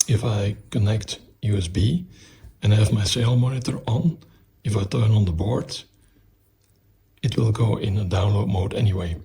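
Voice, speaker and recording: A man presenting a technical explanation of an electronics project in a halting, methodical, step-by-step way. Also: on the low side, creaky, dark tone, slight background noise.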